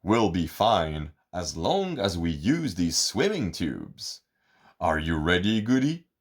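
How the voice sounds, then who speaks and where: A man voicing Gooda, a small, steady male character in a children's story, with a calm, matter-of-fact delivery. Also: low-pitched, slow, full character voice. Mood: encouraging. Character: small, steady male friend, game and practical.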